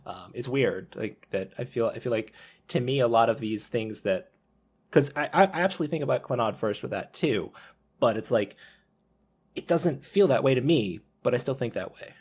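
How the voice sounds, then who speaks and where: A man's voice: mid-range voice, lively intonation, normal speed, a little mellow, husky. A man hosting a casual podcast discussion, talking in a rambling, loose way with many restarts. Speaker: podcast host.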